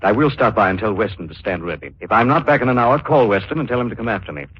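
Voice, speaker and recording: An actor playing a suave, self-assured gentleman crime-fighter in a 1940s radio thriller style. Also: fairly low pitch, lively intonation, fast, lightly acted. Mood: calm, assured. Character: wealthy, confident amateur crime-fighter, suave and fearless. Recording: dull, faintly noisy 1940s radio recording.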